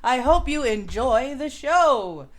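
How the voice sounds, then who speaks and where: Slow-paced, higher-voiced woman hosting. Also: sing-song. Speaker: host of a live show. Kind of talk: casual live-stream chat.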